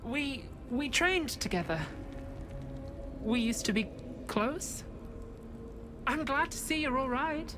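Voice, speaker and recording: An actress playing a gentle, wistful young acolyte in a video game, hesitant and deferential toward an old friend. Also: female voice, high voice, lively intonation, slow pace, pauses often, over music. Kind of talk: dramatic video game acting.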